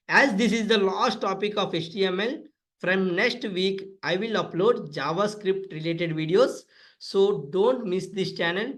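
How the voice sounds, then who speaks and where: High-pitched Indian man teaching. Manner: instructional, repetitive phrasing, addresses listeners as friends. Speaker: tutorial instructor. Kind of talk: step-by-step screen-reader coding lesson.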